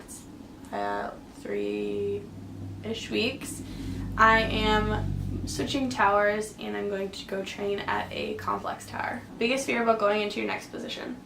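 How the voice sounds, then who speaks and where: Chatty young woman with a creaky voice. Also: mid-range voice, a bit bright. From Canada. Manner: chatty, lots of fillers and likes. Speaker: air traffic controller answering viewer questions. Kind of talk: casual Q and A vlog. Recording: echoey room, faint background hum.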